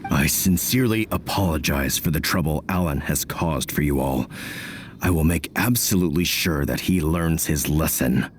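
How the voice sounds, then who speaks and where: A man's voice: very deep voice, normal speed, a little hiss or hum. A man voicing a worried older villager, earnest and old-fashioned in his phrasing. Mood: stern. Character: worried older male villager, stern but caring. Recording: game soundtrack music behind.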